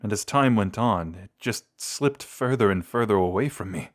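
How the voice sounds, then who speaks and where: A man playing a brooding, courteous vampire in an intimate audio roleplay, speaking to a lost love in formal, measured phrases. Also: fairly low pitch, expressive. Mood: regretful, hesitant.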